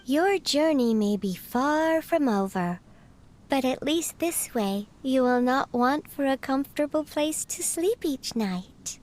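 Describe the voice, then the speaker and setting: A woman's voice: sits high, expressive, slow, darkish tone. A woman voicing a plump, bird-like female teapot spirit in a video game, formal and prim as a butler. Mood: courteous, instructive. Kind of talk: video game character dialogue. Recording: music under the voice.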